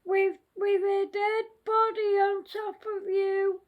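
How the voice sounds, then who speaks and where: A child plays a menacing storybook phantom who accuses and threatens. The voice is very high and extremely slow, stretching words into a sing-song. The recording is dull and muffled. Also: little pitch movement. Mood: menacing, accusing. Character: menacing ghostly scary voice accusing schoolchildren.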